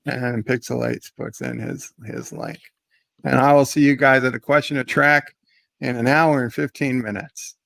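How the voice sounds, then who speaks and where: A man with a gravelly, fry-laden voice hosts a relaxed livestream hangout. He thanks chat members by name and chats easily with his panel. The sound is dry and close to the mic. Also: medium pitch, moderate pace, dry recording. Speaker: host.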